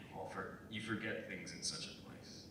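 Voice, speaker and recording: A man playing a haunted, guarded war veteran in a staged reading, speaking in a measured, brooding way. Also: steady tone, natural voice. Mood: somber, brooding. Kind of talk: staged dramatic script reading. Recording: very echoey, distant, low rumble.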